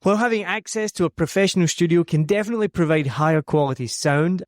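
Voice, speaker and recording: A man's voice: fairly high pitch, darkish tone, no echo. A Scottish man hosting his podcast from a home studio, delivering an upbeat, earnest scripted monologue. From Scotland. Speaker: podcast host.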